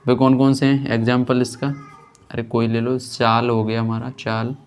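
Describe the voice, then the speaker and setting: A male teacher explains a lesson as he writes. His voice sits at a middle pitch. He sets up questions, answers them himself and repeats key terms, often checking in after a point. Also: flat delivery, moderate pace, clear, resonant tone. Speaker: physics teacher. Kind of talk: classroom lesson, explaining while writing on a board.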